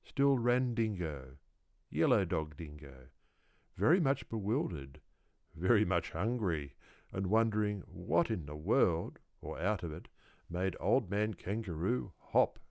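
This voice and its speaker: A man reading a children's story aloud as an audiobook narrator, with deliberate, rhythmic, theatrical phrasing. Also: deep voice, sing-song, darkish tone, dry recording, clean studio sound. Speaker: audiobook reader.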